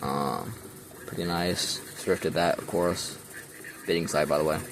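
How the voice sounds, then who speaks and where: A man's voice: sits low, steady tone, a little slow, noisy background. A young man casually showing his radio collection in his bedroom, rambling with many ums, filmed point-of-view on a handheld camera. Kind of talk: casual show-and-tell.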